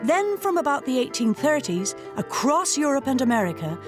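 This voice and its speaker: A woman presenting a documentary, narrating in a clear, measured, guiding way. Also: sits high, big pitch swings. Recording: music bed under narration.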